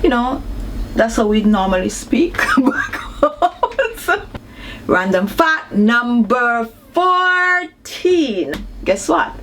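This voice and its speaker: Jamaican woman in her thirties, unhurried chatty vlogger, slightly high voice, faint hiss behind. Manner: chatty and animated, laughs often. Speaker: beauty vlogger. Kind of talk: casual vlog chat.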